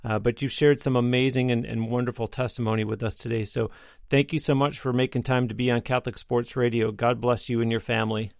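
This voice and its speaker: Gravelly-voiced radio host, dry studio sound. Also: male voice, medium pitch, fairly even intonation, fast talker, no room sound. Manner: affable, leads prayer. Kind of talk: interview and closing prayer.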